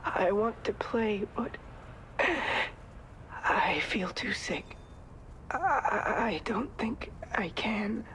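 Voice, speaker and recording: A woman's voice: mid-range voice, animated, slow pace, a bit bright, slight background noise, rough recording. A woman voicing a sick, lonely child who whispers and sighs. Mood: sad. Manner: weak whispering, sighing.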